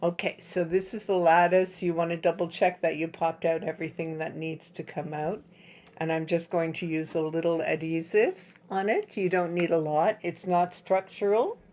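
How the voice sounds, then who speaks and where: A woman with a slightly hoarse, husky voice, chatting through a step-by-step craft tutorial at home. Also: fairly low pitch, moderate pace, darkish tone. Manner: chatty, explains while working with her hands. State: slightly hoarse from dry air.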